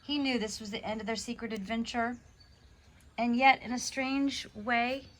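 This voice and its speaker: A woman reading a children's storybook aloud in an animated way, stressing key words for drama. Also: on the high side, normal speed, a little hiss or hum.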